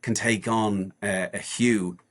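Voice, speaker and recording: A man in his forties talks in a fairly low voice, loose and rambling. He often restarts his sentences and leans on fillers as he chats easily with the host. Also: steady tone, takes it slowly. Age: 40s. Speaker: guest, charity walker. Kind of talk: casual podcast chat.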